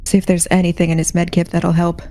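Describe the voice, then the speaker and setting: Young female sci-fi security officer, played by a woman: mellow, lower register, calm, commanding, measured roleplay delivery. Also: natural voice. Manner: measured, giving orders. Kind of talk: in-character tabletop roleplay.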